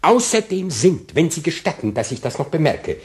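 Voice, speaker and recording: Fussy, self-important gentleman customer, stilted and long-winded, hugely varied intonation, children's radio drama. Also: on the high side, big pitch swings, normal speed. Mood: fault-finding. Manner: stilted, circumlocutory, pompous. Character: fussy, pompous, demanding male customer. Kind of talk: German children's radio play.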